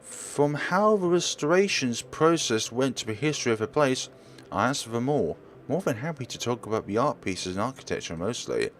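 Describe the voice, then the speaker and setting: A man's voice: medium pitch, lively intonation, normal speed, few pauses, a little hiss or hum. A man reading a visual novel aloud as a let's player, narrating expressively and sometimes stumbling and correcting himself.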